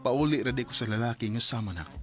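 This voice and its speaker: Guy playing earnest young cebuano man, halting secret lover, radio drama w soft music behind. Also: mid-range voice, expressive, lightly acted. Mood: reflective. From Philippines. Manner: hesitant, halting.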